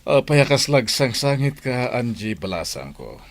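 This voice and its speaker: A man's voice: mid-range voice, sing-song, measured pace. An actor playing a hearty, inquisitive older father in a village radio drama, speaking in an avuncular, exclamatory way. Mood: curious, concerned.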